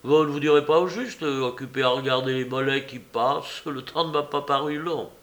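A man's voice: mid-range voice, steady tone, a little fast, fairly bright tone, natural voice. A man voicing a cheeky, mischievous joker in an audiobook, with flowery speech and a teasing, mock-pompous manner. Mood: sly, teasing. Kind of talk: audiobook character voice.

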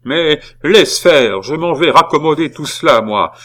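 A man's voice: medium pitch, unhurried, pitched above the performer's own voice, close to an everyday voice. A man voicing a stern, suspicious old spinster aunt in a storybook reading, accusing and sententious. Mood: threatening, sententious. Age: older. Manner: accusing, sarcastic, indignant exclamations.

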